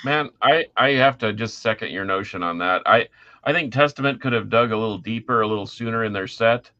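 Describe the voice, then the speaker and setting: A middle-aged man with a lowish, forceful voice talks music as a podcast co-host. He is enthusiastic and slangy, in casual conversation. The audio is a little dull. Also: brisk.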